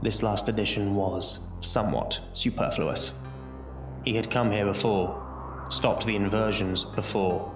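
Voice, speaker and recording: A man narrating an audio drama as a scripted story, theatrical and deliberate, with dramatic pauses. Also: lowish voice. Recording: roomy echo under music. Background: music.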